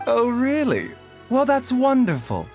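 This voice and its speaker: An actor playing a gentle, formal, soft-spoken doctor in a video game. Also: male voice, very high-pitched, wildly swooping pitch, measured pace, over music, heavily acted. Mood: gracious. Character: gentle, courteous male doctor and pharmacy owner. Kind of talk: English video game voice acting.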